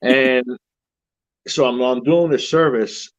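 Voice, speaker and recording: A man with a deep voice, a horror-themed wedding officiant, chatting casually and confidently as an interview guest, with frequent restarts. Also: fairly even intonation, slow pace, highs cut off. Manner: rambling, self-assured, many restarts.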